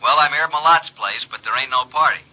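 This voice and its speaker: An actor playing a brash, wisecracking American private investigator in an old radio drama, breezy, slangy and direct. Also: male voice, medium pitch, quick pace, sharp tone. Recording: muffled vintage radio recording.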